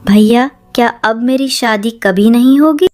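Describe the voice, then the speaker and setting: A woman plays an anxious young sister in a cartoon village tale. Her voice is fairly high and plaintive, and she speaks slowly over faint music. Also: darkish tone, close to an everyday voice. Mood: worried. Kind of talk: cartoon moral-story acting.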